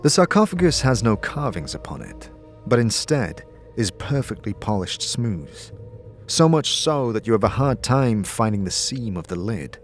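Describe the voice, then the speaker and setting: A man narrates a fantasy audio drama in the second person, with theatrical, deliberate storytelling. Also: fairly low pitch, very animated. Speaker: narrator and game master. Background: music.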